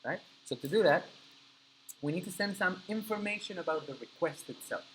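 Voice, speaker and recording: A man's voice: high-pitched, animated, normal speed, a bit bright, slight background noise, low-quality recording. A man whose first language is Spanish teaches a live coding class, explaining step by step and checking in with his students. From Argentina. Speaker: coding instructor.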